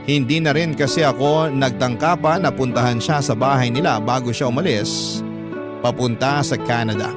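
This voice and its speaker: An actor playing a reflective young man, earnestly narrating his lost love story in measured phrases for a Tagalog radio drama. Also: medium pitch, close to an everyday voice. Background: loud music under the voice.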